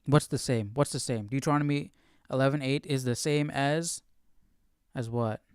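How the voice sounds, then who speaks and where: A man hosting a live Bible study in a casual, questioning way, thinking out loud as he reads and comments. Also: medium pitch, fairly even intonation, normal speed, clean tone, no room sound, studio quality.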